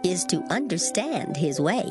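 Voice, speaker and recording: A woman giving a dramatized reading of scripture in formal old English, measured and solemn. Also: on the low side, sing-song. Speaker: scripture reader. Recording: loud music behind the voice. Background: music.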